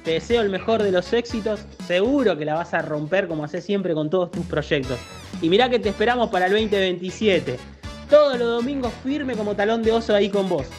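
Porteño listener cheering on the host in a voice note, faint music under it. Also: male voice, high-pitched, expressive, fairly quick. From Argentina. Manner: warm, enthusiastic greeting. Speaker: listener sending a message. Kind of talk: recorded voice message.

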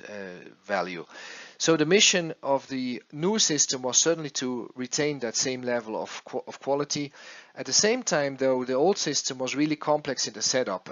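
A man working as an acoustics consultant explains a case study over slides in a halting way, repeating words as he goes. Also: medium pitch, fairly bright tone, muffled recording. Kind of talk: slide presentation, explanatory narration.

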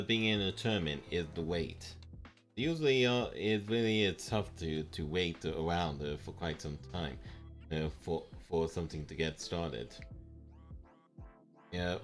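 A young man with a very deep, low voice chats through a live game stream, stumbling over fillers and restarting words as he goes. Faint music plays under his desk-mic sound in a home room. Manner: halting, many fillers and repeated words.

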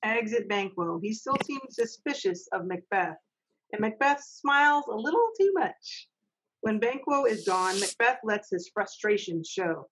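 A woman's voice: medium pitch, sing-song, a little slow, fairly bright tone, husky, rough recording. A middle-aged woman, reading stage directions as narrator of an amateur readers' theater over a video call. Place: home office on a video call.

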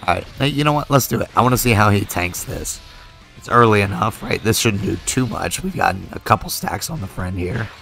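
A young man with a low, gravelly voice talks through a mobile game as he plays it, thinking aloud in a relaxed way. He is slowly losing his voice from being a little sick, and game music plays faintly behind him. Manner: relaxed, thinks aloud with fillers while playing. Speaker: mobile game video creator. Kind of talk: casual gameplay commentary.

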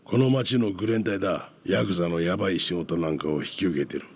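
A gruff, world-weary older ally in a crime game. His voice sits very low and sounds rough and husky. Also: a little fast, a little mellow. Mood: urgent, regretful. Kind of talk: video game cutscene voice acting.

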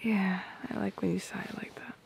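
A woman voicing a tender, playful, possessive girlfriend in an ASMR roleplay, speaking softly and intimately close to the microphone in a breathy, often whispered voice. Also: mid-range voice, level tone, a little hiss or hum. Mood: playful, affectionate. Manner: soft, intimate, unhurried, teasing. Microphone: close microphone, dry.

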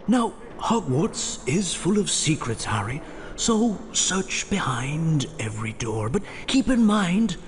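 Slow, whimsical old English wizard. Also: male voice, on the high side, a little hiss or hum. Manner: musing, gently dramatic.

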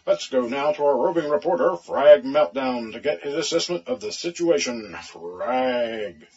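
A man's voice: mid-range voice, lively intonation, a little slow, a little mellow, slightly rough. A man playing a condescending robot news anchor with a mock-newsreader delivery in a comic audio drama. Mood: smug, brisk. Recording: dull, lo-fi audio.

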